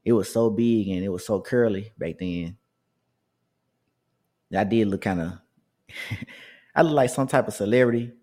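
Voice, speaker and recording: A man in his thirties with a lowish, dark and mellow voice tells a story from his own life. He talks casually and loosely, restarting sentences and throwing in asides to the audience. It feels like relaxed conversational storytelling. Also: unhurried, pauses often. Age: 30s.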